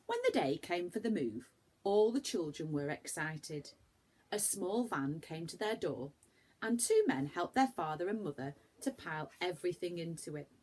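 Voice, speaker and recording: A woman reading a children's story aloud like a primary school teacher, with animated, dramatic emphasis for young listeners. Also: fairly low pitch, normal speed.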